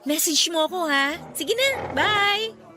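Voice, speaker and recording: A woman's voice: very high voice, expressive, slow, sharp tone, faint music behind, full character voice. A woman playing a playful, chatty young businesswoman meeting an old flame in an audio drama, with a teasing, exclaiming manner. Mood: cheerful. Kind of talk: Filipino audio drama acting.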